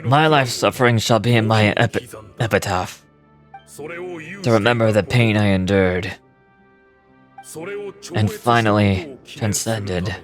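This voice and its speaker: A grave, suffering man delivering a dramatic game monologue. His voice is low and his phrasing is deliberate and poetic, with music playing behind him. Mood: solemn, brooding.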